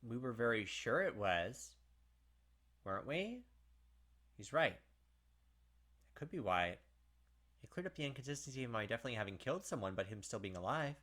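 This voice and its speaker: A man hosting a gaming video, reading a visual novel aloud with animated, blunt casual commentary. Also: medium pitch, normal speed, long pauses.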